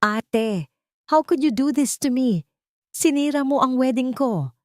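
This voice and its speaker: A woman's voice: high voice, animated, measured pace, darkish tone, no room sound, studio quality, pitched above the performer's own voice. A woman voicing a jealous, spoiled young bride who speaks in an accusing, outraged manner. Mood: outraged, hurt.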